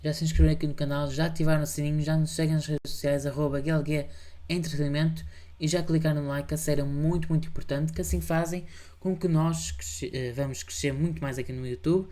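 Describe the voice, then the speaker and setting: A man's voice: on the high side, little pitch movement, fast talker, mellow. A man with a European Portuguese accent hosting a reaction show, chatting casually and insistently urging viewers to subscribe. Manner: insistent, repeats pleas to subscribe and like. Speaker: host reacting to videos. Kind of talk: casual commentary.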